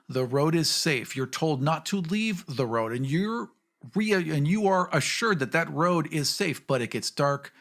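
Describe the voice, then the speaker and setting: A man's voice: medium pitch, fairly bright tone. A man talking casually and reflectively on a podcast panel, telling things in a vivid storytelling way.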